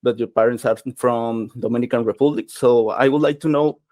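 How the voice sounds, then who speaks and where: A man's voice: mid-range voice, fairly even intonation, a little fast, a little mellow, dry recording. A man whose first language is Spanish, hosting an interview and asking questions hesitantly, searching for words. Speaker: interviewer, host.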